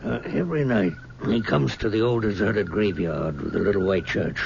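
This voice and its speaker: An actor playing a gruff, tense county sheriff in an old radio drama. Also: male voice, lowish voice, moderate pace, a little mellow. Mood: matter-of-fact. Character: tense small-county sheriff hunting a fugitive. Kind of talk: 1970s radio drama acting. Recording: dull old radio recording, background noise.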